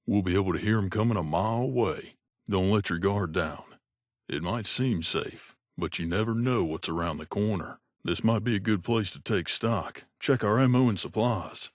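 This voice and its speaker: An actor playing a wry, gruff mercenary companion in a video game, speaking in a conversational, grumbling way. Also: male voice, lowish voice, normal speed, no room sound, studio quality, close to an everyday voice. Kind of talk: game character voice lines.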